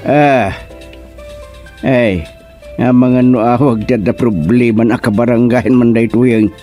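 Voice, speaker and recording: An actor playing an easygoing older village husband in a comic radio serial, drawling and chuckling with lots of grunts. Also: male voice, mid-range voice, fairly even intonation, slow pace, over music, natural voice. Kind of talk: comic radio drama acting.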